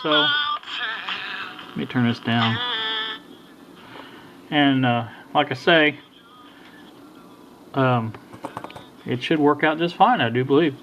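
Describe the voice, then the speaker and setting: A middle-aged or older man casually talking through a hands-on repair at a workbench, in a halting, folksy, unhurried way. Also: on the high side, big pitch swings, a bit bright. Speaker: hobbyist radio repair vlogger. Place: indoor workbench. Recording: dull lo-fi sound with background noise.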